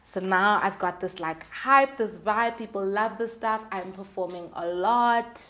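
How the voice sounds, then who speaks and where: A woman's voice: mid-range voice, unhurried, fairly bright tone. A young woman speaking candidly and expressively in casual, slangy conversation as an interview guest. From South Africa. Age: young adult. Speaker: guest, singer-songwriter.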